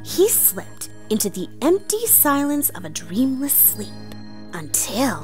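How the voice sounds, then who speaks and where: A woman's voice: high voice, very animated, takes it slowly, soft background music, exaggerated voice. A woman playing an earnest, theatrical narrator of a storybook read-along. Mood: dramatic, earnest. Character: earnest theatrical storyteller, comic author-narrator persona played female.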